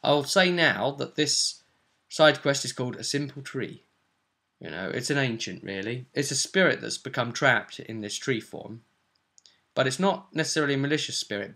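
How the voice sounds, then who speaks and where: A man's voice: mid-range voice, sharp tone. A man giving a casual, rambling recap of a tabletop role-playing session as the game master.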